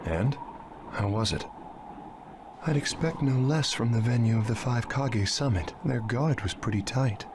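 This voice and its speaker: An actor voicing a calm, sly plant-like male spy in a game cutscene, with a gravelly voice over noisy game audio. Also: medium pitch, measured pace.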